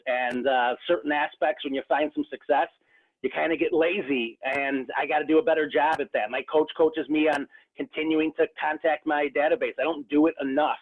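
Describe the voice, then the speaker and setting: Earnest man on a tinny phone line. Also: on the high side. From Rochester, New York area. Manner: earnest, frequent restarts and fillers. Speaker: real estate agent. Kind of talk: casual interview talk.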